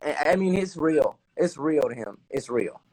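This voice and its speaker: A man's voice: fairly high pitch, animated, moderate pace, a little mellow, slightly rough, rough recording. A man from New Jersey with a Dominican-influenced Jersey accent, talking casually as an interview guest, repeating himself for emphasis and teasing playfully. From New Jersey, USA.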